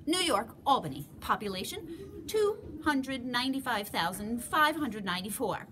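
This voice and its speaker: A woman's voice: fairly high pitch, very animated, moderate pace, noisy background, pitched above the performer's own voice. A woman voicing a big, mean, boastful young capybara girl in a storybook, with a brash, emphatic delivery. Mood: smug, showing off. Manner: brash, loud, emphatic announcing. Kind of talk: storybook character voice.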